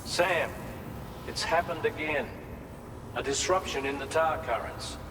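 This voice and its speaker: A man's voice: a little high, measured pace, natural voice. An actor playing a serious male comrade reporting in during a video game cutscene. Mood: concerned. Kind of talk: scripted game cutscene dialogue. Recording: echoey hall, clear background noise.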